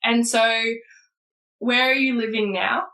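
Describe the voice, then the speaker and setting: A woman's voice: on the high side, steady tone, a little slow, fairly bright tone, poor audio. A woman hosting a podcast, upbeat and enthusiastic, with frequent fillers like "like" and "so". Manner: bubbly, enthusiastic, heavy use of "like" and "so". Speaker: podcast host.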